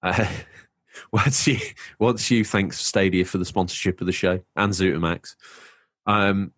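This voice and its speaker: Low-voiced man musing on a podcast. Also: steady tone, a little slow, fairly bright tone, no echo, clean studio sound. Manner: wry, asks lots of rhetorical questions. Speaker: podcast co-host. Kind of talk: casual chat.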